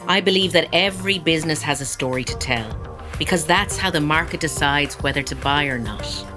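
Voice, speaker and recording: A woman's voice: deep voice, brisk, over music. A woman hosting a marketing podcast, introducing the show and chatting in a conversational interview style.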